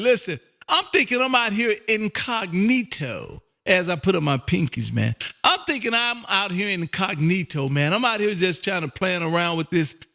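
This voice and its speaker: An exuberant, folksy American man with a gravelly voice, chatting casually on air as a ham radio host and repeating his catchphrases. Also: high-pitched, sharp tone. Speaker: amateur radio operator and livestream host.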